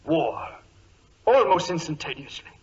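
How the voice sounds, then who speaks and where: High-pitched, frantic young English clerk. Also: male voice, very animated, a little slow. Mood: earnest, nervous. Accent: British. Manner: overwrought, exclamatory, stammering. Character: anxious, excitable young English government clerk. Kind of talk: 1940s radio drama acting. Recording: dull, lo-fi old radio recording.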